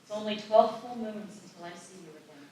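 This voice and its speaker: Actress as gentle teen sweetheart, live reading, distant from the mic with heavy room echo. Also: female voice, medium pitch, fairly quick, close to an everyday voice. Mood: tender, doubtful. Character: gentle, hopeful teenage girl in love. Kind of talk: live table read of a screenplay. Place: live festival stage reading in echoey room.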